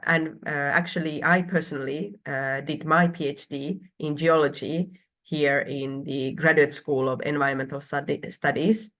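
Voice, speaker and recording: A woman from Finland in her thirties presenting a university program online, with frequent hesitations and filler sounds. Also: low voice.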